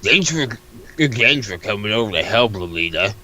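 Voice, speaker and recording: Casting: pushy, lewd female protest leader played by a man, very low and hard-edged, blunt delivery, comic webcomic dub. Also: sing-song, normal speed, bright, forceful tone, full character voice. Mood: friendly, lewd. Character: pushy, lewd woman leading a topless protest. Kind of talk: comic dramatic reading of a webcomic.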